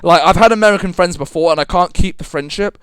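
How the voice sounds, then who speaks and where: A man's voice: high-pitched, fast talker, a bit bright, clean tone, no echo. A man born in Britain, rambling through a casual podcast chat with lots of fillers and swearing. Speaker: podcast co-host.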